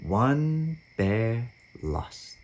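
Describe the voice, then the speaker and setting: A man reading a children's picture book aloud as narrator, with expressive sing-song, deliberate phrasing. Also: mid-range voice. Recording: faint background noise with sound effects.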